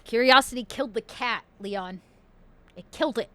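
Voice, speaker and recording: Woman playing sassy, high-voiced exasperated undead bookkeeper. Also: normal speed, a bit bright, smooth, lightly acted. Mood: exasperated, scolding. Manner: sassy, emphatic, repeats herself.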